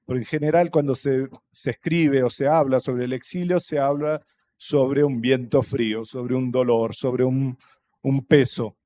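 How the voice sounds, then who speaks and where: A man from Brazil whose first language is Portuguese, speaking Spanish with a Brazilian accent on a festival panel, thoughtful and halting, with many fillers and restarts. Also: mid-range voice, fairly even intonation, dark tone. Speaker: panel guest, writer. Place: stage at a public festival panel.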